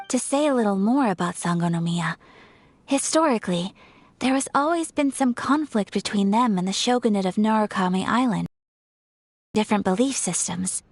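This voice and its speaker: A composed young noblewoman, voiced by a woman in a game, pitched a little high with a soft breathy edge. She speaks formally and courteously, every phrase measured. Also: no room sound, close to an everyday voice. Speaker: noble of a ceremonial commission. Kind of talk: video game voice acting.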